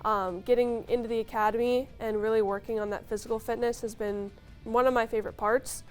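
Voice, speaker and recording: Recruit, 20s, from Minnesota, higher voice, upbeat delivery. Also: female voice, fairly even intonation, a little fast, darkish tone, soft background music. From Sartell, Minnesota. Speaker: police academy recruit. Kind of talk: interview answers.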